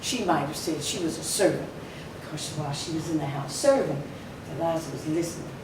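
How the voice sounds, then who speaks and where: A woman with a low voice speaks at a podium in a ceremony, her voice a little weaker than usual. She tells her story emphatically, with a few fillers. She is picked up from a distance in a heavily echoing hall, with noise underneath. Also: fairly quick. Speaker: society spokesperson. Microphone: podium microphone. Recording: echoey hall from far off, noisy.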